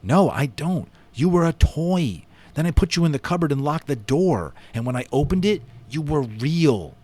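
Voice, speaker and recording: A man's voice: a little high, very animated, moderate pace, dark tone, no echo, voice pushed up. A man voicing an eager, curious young boy in a storybook, speaking with friendly enthusiasm. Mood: excited. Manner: enthusiastic, earnest.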